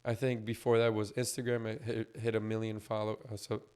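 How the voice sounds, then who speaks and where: A man co-hosting a laid-back podcast talks in a fairly level, even tone with little rise and fall. His voice is a bit hoarse and scratchy, like it's only just coming back. He explains things loosely, with plenty of fillers along the way. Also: fairly low pitch, brisk. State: hoarse, recovering lost voice. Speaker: podcast co-host. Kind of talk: casual podcast chat.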